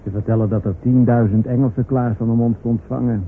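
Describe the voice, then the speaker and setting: An actor playing a casual, wry soldier on watch in a radio drama. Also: male voice, mid-range voice, steady tone, fast, close to an everyday voice. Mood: wry, relaxed. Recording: tinny, noisy old radio recording.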